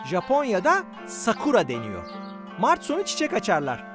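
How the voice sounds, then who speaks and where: A woman's voice: mid-range voice, huge pitch swings, normal speed. A woman voicing a kind, knowledgeable mother in a children's cartoon, explaining things to children in an instructive way. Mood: kindly, explaining. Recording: music under the voice.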